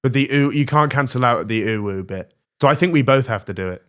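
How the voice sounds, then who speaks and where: A young man hosting a comedy podcast, deadpan with frequent giggles. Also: mid-range voice, a little fast, clear, resonant tone. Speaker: host. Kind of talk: casual comedy podcast chat.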